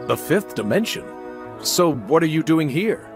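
A man's voice: fairly high pitch, very animated, unhurried. A man voicing an earnest, clean-cut heroic superhero in a cartoon game, with a commanding manner. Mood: puzzled. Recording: music behind the voice.

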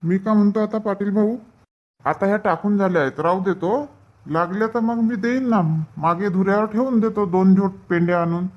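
A man's voice: high-pitched, moderate pace, lightly acted. A man voicing a bantering, grumbling village farmhand in a comedy cartoon, with a rural Vidarbha Marathi accent and a drawling, colloquial delivery. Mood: grumbling, defensive. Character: male villagers in a comic paddy field, bantering and grumbling. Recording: dull, muffled lo-fi audio.

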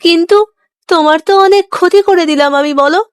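Woman voicing an earnest high-pitched little village boy. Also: fast talker, dry recording, clean studio sound. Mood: sad, guilty. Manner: earnest, childlike. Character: earnest, clumsy, sensitive village boy eager to help. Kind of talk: Bengali moral cartoon dialogue.

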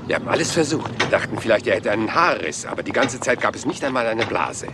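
Rumpled, deferential inspector; animated delivery, noisy dubbed track. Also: male voice, fairly low pitch, fast talker. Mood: polite. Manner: polite, meandering, deferential. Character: rumpled, persistent male police inspector. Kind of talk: dubbed TV crime drama.

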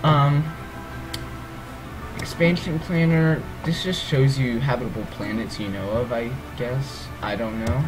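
A man's voice: mid-range voice, steady tone, unhurried, over music, low-quality recording. A man with a groggy voice walking through a strategy game's menus, hesitant and rambling, full of ums and self-corrections. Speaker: let's play gamer. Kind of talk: casual gameplay walkthrough.

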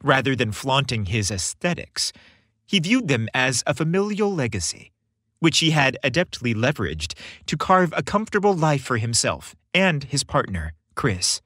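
A man's voice: mid-range voice, big pitch swings, moderate pace, fairly bright tone, dry recording. A man hosting a story podcast, reading scripted narration with a playful, theatrical delivery, close on the microphone.

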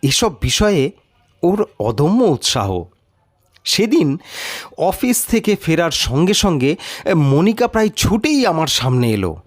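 Audiobook narrator: man, deliberate first-person storytelling, highly animated melody, dry close sound. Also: no echo.

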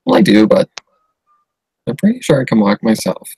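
A man's voice: on the low side, very animated, moderate pace, darkish tone, husky, no echo. Giggly man commentating a game. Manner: chatty, giggly, reacting to on-screen dialogue. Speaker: livestream host playing a game. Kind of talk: casual gameplay commentary.

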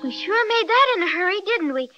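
A woman's voice: very high voice, very animated, fairly quick, pushed and bright, highs cut off, soft background music, exaggerated voice. A woman playing a polite, earnest, slightly bossy little girl in a 1930s children's radio serial.

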